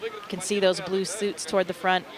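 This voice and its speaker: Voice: medium pitch, level tone, fairly quick, a crowd in the background. A launch broadcast commentator who speaks Swedish, explaining the crew's suit checks and walkout with enthusiasm.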